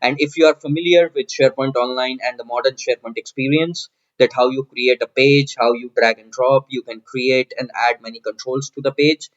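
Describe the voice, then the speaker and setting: A man's voice: medium pitch, fairly even intonation, brisk, few pauses. Man presenting, slightly muffled sound. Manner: explanatory, step by step, repeating phrases. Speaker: tech tutorial presenter. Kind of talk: screen-share software walkthrough narration. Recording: dull, lo-fi microphone sound.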